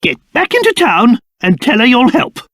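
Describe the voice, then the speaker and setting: A man's voice: very high voice, wildly swooping pitch, normal speed, a bit bright, dry recording, studio quality, full character voice. A man voicing a fussy, haughty old cursed king, a creature and doting father in a video game, with a pompous, theatrical, exclamatory manner. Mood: exasperated. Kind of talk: video game character voice acting.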